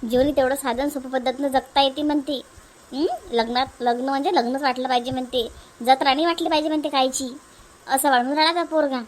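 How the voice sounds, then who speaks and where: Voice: sits high, level tone, fast talker, natural voice. A performer voicing a fussy, talkative, practical village mother in a Marathi family cartoon, with a Varhadi accent and a homely, rambling manner. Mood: explaining, insistent. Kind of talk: comic family cartoon dubbing.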